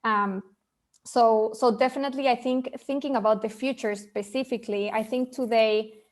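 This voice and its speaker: A woman panelist from Argentina speaks in a flat, even tone with little rise and fall. She answers earnestly, stacking fillers and repeating words as she goes. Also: on the high side, normal speed. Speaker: panelist from a children's charity.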